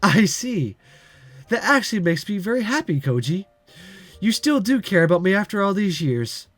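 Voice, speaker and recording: Man reading as a polite, teasing young waitress, animated intonation. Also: high voice, moderate pace. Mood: grateful, touched. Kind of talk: visual novel dialogue read aloud.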